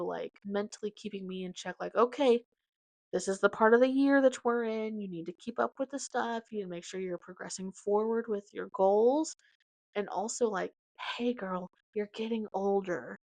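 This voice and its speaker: A woman in her late twenties talks straight into a close mic in a dry, dead room, with no echo at all. Her voice sits at a middle pitch for a woman. She rambles casually and animatedly through a personal update, full of slang and quick asides. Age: 20s. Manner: chatty, animated, hops between topics with slang. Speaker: podcast host.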